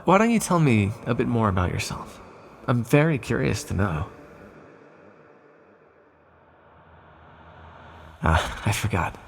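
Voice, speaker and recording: A man plays an ancient villain speaking straight to the listener in an ASMR roleplay. The voice is low and very gravelly, with a husky edge. He is smug and condescending, yet lonely and softly flirtatious. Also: exaggerated voice. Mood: curious.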